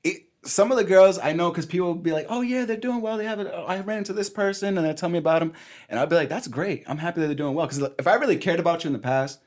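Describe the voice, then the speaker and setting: A man's voice: high voice, fast talker. A man in his twenties telling a story in casual, slangy chat, often laughing as he goes. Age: 20s. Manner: animated, slangy, laughs through his stories. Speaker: podcast co-host.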